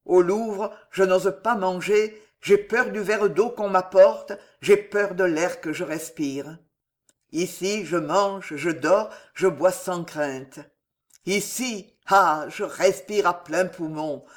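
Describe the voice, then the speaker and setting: A man's voice: high voice, takes it slowly. A reader voicing a young king gripped by paranoid terror, feverish, moving from tender confession to shrieking for his guards in an audiobook. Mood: anguished tender. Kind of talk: audiobook character reading.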